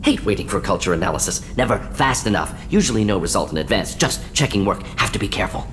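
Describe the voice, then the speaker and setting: An actor playing a brisk, brilliant alien scientist in a video game, speaking in clipped, blunt fragments with small words dropped. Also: male voice, very animated. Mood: brisk, intent. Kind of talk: video game character acting. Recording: clear rumbling noise behind voice.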